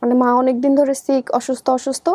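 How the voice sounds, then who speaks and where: A young adult woman with a Bangladeshi accent telling her life story in conversation, halting and often repeating herself. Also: high voice, level tone, warm tone, dry recording, clean studio sound.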